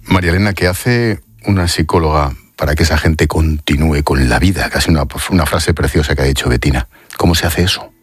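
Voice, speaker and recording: A man's voice: extremely low pitch, a little fast, slightly rough, no echo. A man hosting a radio program, asking direct questions and reading short news narration. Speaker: radio host.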